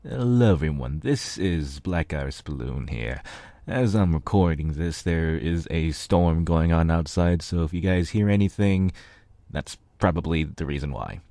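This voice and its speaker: Man, deep voice, casual host chat, halting and informal, no room echo. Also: vocal fry, mellow. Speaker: host and fan fiction reader. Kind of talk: casual unscripted chat to listeners.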